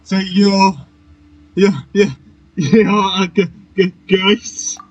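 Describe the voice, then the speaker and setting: A young man giving casual, halting commentary on a video game playthrough, often repeating his words. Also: sits high, monotone, poor audio. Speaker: game streamer.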